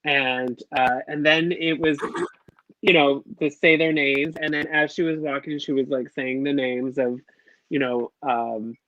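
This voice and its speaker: A man's voice: mid-range voice, steady tone, measured pace, fairly bright tone. A man co-hosting a casual review chat, gushing and bubbly, full of "like" fillers. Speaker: podcast co-host. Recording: dull lo-fi dry sound.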